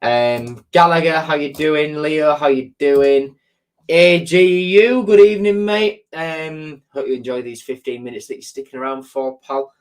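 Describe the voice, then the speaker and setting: A man's voice: on the high side, measured pace. A man hosts a live stream and chats easily with his viewers, reading out their comments and answering them. His voice sounds congested, with a sore throat and a blocked nose. He rambles along, filling gaps with ums and repeating words.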